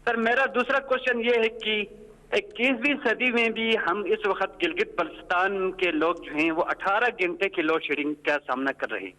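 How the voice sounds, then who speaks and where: A man's voice: sits high, moderate pace, bright, forceful tone, rough recording. A man calling a phone-in, respectfully but insistently putting questions and complaints over the telephone. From Gilgit, Pakistan. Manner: respectful, insistent, often says sir. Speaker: caller to a phone-in. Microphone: telephone call.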